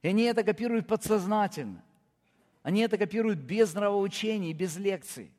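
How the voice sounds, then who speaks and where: A man's voice: sits high, expressive, brisk, darkish tone. Man preaching a sermon in a reverberant hall. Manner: emphatic preaching with rising stresses and enumerated points. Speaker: pastor.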